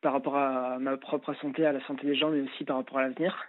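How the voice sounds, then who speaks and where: A man in his late twenties calling in to a radio show, speaking haltingly with many fillers and restarts. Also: mid-range voice, little pitch movement, no room sound. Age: late 20s. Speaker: caller. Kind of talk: phone-in call. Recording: tinny phone line.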